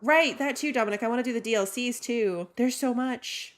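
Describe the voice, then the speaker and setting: A woman's voice: fairly high pitch, fairly quick. A woman streaming a video game, chatting casually and rambling with lots of "like". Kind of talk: casual gameplay commentary.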